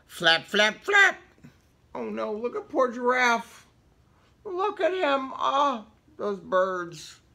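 A man in his fifties reads a children's story in a theatrical, lively way. His pitch swings widely and his tone is bright, at an unhurried pace. He breaks off for casual asides and questions to young listeners. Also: creaky. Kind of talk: animated picture-book read-aloud with casual asides. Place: indoor room with book cut-outs.

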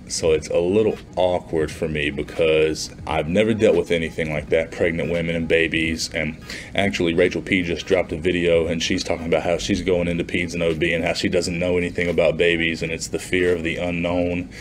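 Low-voiced young man chatting casually. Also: level tone, brisk, a little hiss or hum. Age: 20s. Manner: informal, conversational, chatty. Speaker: nursing student vlogger. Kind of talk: casual vlog talk to camera. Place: small plain indoor room.

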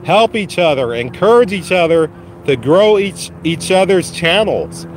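A man hosting his first live stream from a phone while walking a dog outside, his voice slightly raspy from a cold, casually encouraging viewers. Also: sits high, big pitch swings, a little slow, slight background noise, rough recording. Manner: encouraging, repetitive, addresses viewers by name. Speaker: channel host. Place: outdoors on a lawn walking a dog. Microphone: phone held while walking.

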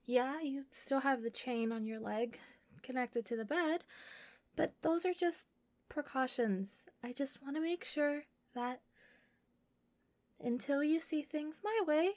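Woman voicing a shy clingy yandere girl, soft n hesitant, kinda high voice, super slow, roleplay audio. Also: no room sound. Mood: soft, persuasive. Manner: soft, hesitant, coaxing, breaking into whispers. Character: shy, sweet, obsessively devoted young female yandere captor. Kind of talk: intimate audio roleplay addressed to the listener.

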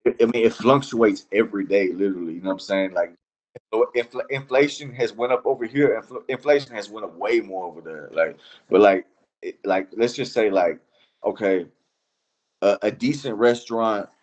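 Deep-voiced Iranian-born man, halting and slangy. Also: a little mellow, rough recording. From Iran. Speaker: interview guest. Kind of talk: casual chat.

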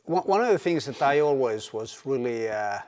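A male interview host asking gentle questions with stammered starts, seated in a winery barrel room. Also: mid-range voice, lively intonation, moderate pace. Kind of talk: conversational interview. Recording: long hall reverb.

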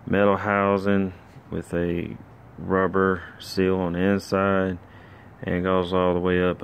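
A man's voice: deep voice, a little hiss or hum. A middle-aged man filming his own engine work with a phone, talking through each step in a plainspoken, rambling way with lots of fillers. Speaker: home mechanic filming a rebuild. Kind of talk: casual how-to commentary. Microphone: handheld phone camera.

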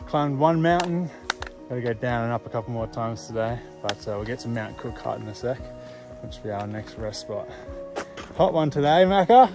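A man's voice: mid-range voice, animated, moderate pace. A young Australian man vlogging casually to camera while out on a bush hiking trail, upbeat and chatty. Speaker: hiker vlogger. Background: music.

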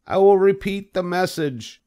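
A man voicing an eager young pig in a children's storybook, earnest, excitable and over-polite. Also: high voice, unhurried, pitched above the performer's own voice. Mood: loud, firm.

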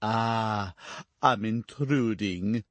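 A woman voicing a haughty, patronizing nobleman who speaks in a pompous, drawn-out way. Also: very deep voice, no room sound, voice pushed down, full character voice. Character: haughty young Spanish duke, patronizing, stiff.

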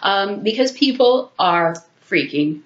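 A woman's voice: mid-range voice, lively intonation, takes it slowly. A woman in her thirties, sick with a sore throat and congestion, chatting frankly to her webcam at home, swearing freely and laughing between asides. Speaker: vlogger. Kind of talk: casual talking-head commentary. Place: small room at home, indoors. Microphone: webcam at desk distance. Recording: echoey room, dull lo-fi webcam sound.

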